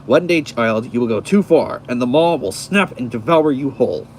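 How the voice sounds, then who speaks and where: A man's voice: mid-range voice, expressive, normal speed, a little mellow, pitched below the performer's own voice, natural voice. A man voicing a proud, menacing monstrous force with an ominous, declamatory manner, on a slightly noisy recording. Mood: proud menace.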